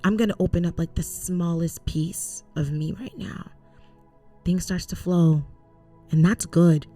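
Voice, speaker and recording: A woman's voice: lowish voice, a little slow, dark tone, faint music behind. A young woman co-hosting a faith podcast, from Cameroon and raised in Canada, speaking earnestly and emphatically in a casual, preachy way. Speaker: co-host.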